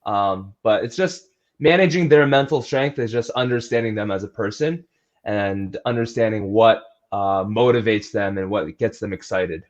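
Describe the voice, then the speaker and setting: A man's voice: on the low side, moderate pace. Man chatting casually, slightly dull sound. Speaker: athletic trainer and strength coach being interviewed. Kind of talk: casual interview chat.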